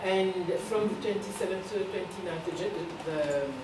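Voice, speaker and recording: Woman, slightly low voice, halting earnest announcement, distant mic, noisy background. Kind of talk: announcement and remarks to a gathering. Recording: echoey, noisy room from afar.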